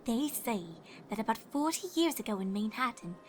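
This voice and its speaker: A woman's voice: on the high side, expressive, moderate pace, soft background music, natural voice. A woman voicing a refined, dramatic young female unicorn pony in a fan fiction reading, with a genteel, theatrical manner. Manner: theatrical, genteel, calls others darling. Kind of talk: fan fiction character voice acting.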